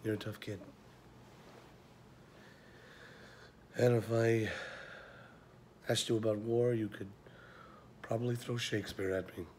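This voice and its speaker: A weary older mentor, acted by a man speaks in a low, flat-contoured voice. He is tender but confronting, pausing often and letting phrases sink toward a hush, as in a film drama, with faint noise behind. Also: natural voice. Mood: somber, challenging. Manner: measured, pausing, drops to near whisper. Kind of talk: slow dramatic film monologue audition.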